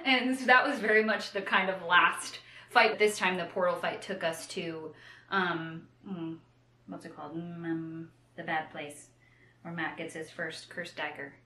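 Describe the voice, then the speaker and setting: Bright-voiced woman in a roomy echo. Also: lowish voice, a little slow. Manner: animated retelling with playful slangy asides. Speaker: co-host of a book discussion show. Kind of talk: casual book chat.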